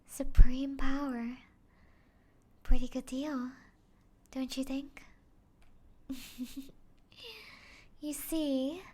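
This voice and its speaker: A woman voicing a seductive, mocking, possessive vampire in an ASMR roleplay, teasing and taunting in a breathy voice that slips into whispers. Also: high-pitched, heavily acted. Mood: seductive, commanding. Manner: teasing, taunting, slow breathy delivery with whispers and soft chuckles.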